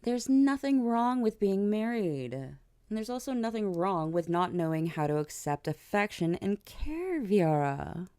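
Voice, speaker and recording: Woman acting as composed, mellow vampire queen. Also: mid-range voice, very animated, measured pace, no room sound, studio quality. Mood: amused, teasing. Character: regal, teasing vampire queen, composed older-cousin type. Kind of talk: ASMR audio roleplay acting.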